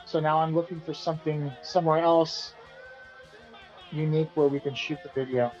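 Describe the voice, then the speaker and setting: A man, the band's singer and guitarist, answering interview questions in a relaxed, rambling way and often repeating himself. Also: a little high, moderate pace, muffled recording, soft background music. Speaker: band vocalist and guitarist being interviewed.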